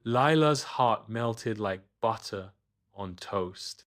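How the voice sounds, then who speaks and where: A man's voice: fairly low pitch, lively intonation, slow pace, a bit bright. A man reading a scripted children's bedtime story as its narrator.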